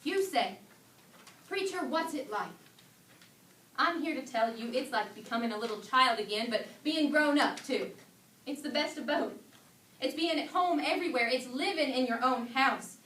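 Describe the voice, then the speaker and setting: A woman with a somewhat high voice performs a sermon as a dramatic reading. She preaches in rhythmic, declarative lines, recorded from a distance in a very echoey hall. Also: animated, moderate pace, fairly bright tone. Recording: echoey distant hall, faint background noise.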